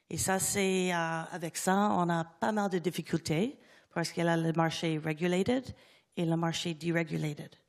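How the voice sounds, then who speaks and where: Panelist, a woman with a lowish voice who mixes into hesitant French. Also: a little mellow. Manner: halting French mixed with English words. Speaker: conference panelist. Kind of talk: conference panel talk.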